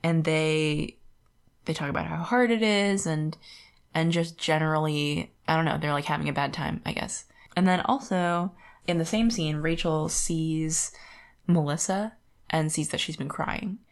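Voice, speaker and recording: A woman's voice: low voice, a little slow. A woman co-hosting a podcast, chatting casually about books in an informal, rambling way full of "like". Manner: informal, filler-heavy with frequent "like". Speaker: podcast co-host. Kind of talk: casual book discussion chat.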